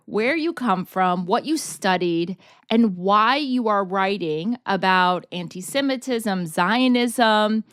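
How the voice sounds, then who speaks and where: A woman hosting a podcast interview and asking her guest inviting, clear questions. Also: medium pitch, no room sound, studio quality. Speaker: podcast host.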